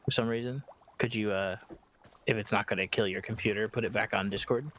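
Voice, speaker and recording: A man's voice: lowish voice, fairly even intonation, brisk, a bit bright, a little hiss or hum. A man chatting casually as a guest on a game stream, a bit hesitant, with trailing sentences and small interjections. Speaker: guest streamer helping along. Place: remote voice call. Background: game music.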